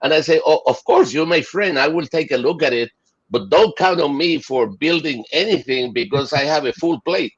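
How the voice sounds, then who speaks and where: A man's voice: on the high side, animated, moderate pace, husky. A middle-aged man from Chile and Rico, talking enthusiastically as an interview guest with many fillers and restarts. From Chile and Puerto Rico. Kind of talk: casual interview. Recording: dull lo-fi audio.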